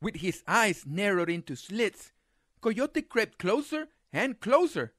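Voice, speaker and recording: A man reading a children's picture book aloud as a storyteller, with dramatic, deliberate phrasing. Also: sits high, big pitch swings, a bit bright, dry recording, studio quality.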